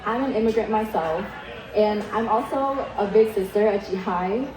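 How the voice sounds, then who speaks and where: A woman's voice: mid-range voice, moderate pace, a crowd in the background. Chatty Vietnamese-born woman in an echoey hall. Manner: casual, candid storytelling with many likes and ums. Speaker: keynote speaker. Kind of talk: keynote speech. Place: event hall.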